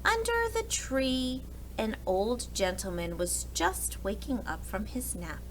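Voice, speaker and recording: Slow, expressive woman reading a storybook. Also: fairly high pitch, slight background noise, rough recording. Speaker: read-aloud storyteller.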